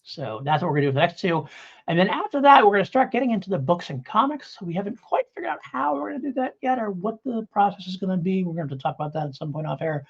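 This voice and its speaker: Middle-aged man, husky podcast host. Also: high-pitched, animated, fast, muffled recording. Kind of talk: casual review podcast chat.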